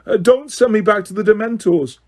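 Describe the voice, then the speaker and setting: A man's voice: sits high, a little fast, darkish tone, voice pushed up. A man voicing a terrified teenage boy in chains who shrieks and pleads. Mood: pleading, screaming.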